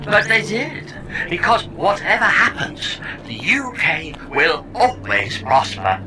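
A sarcastic, talkative companion from a comedy audio drama played by an actor, delivering everything with mock grandeur. The pitch leaps wildly from line to line, and there is clear noise and ambience behind the voice. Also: huge pitch swings, slow pace, bright, forceful tone, full character voice. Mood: cheerfully sardonic.

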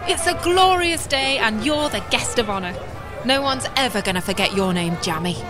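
A woman's voice: on the high side, expressive, moderate pace, over music, crowd noise, close to an everyday voice. A woman playing a gushing, patronising live TV reporter in a comedic audio drama, breezy and showy. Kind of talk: parody live news broadcast in audio drama.

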